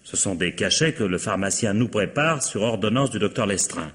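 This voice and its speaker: An actor playing a courteous, resigned middle-aged widower accused of murder, in 1950s French radio drama style, with a husky voice. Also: male voice, fairly low pitch, expressive, normal speed, fairly bright tone, long reverb tail. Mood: defensive, agitated. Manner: polite, resigned, wry.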